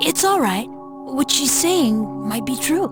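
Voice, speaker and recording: A woman's voice: high voice, expressive, slow pace. A woman voicing an earnest, polite young boy, mature for his age, in an anime video game. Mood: reflective. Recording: music behind the voice.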